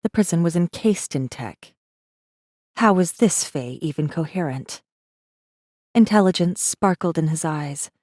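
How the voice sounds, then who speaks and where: A woman playing a guarded, secretive rebel messenger who narrates an audiobook in an intense, measured first-person style. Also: lowish voice, animated, darkish tone, no echo, studio quality. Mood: probing, curious.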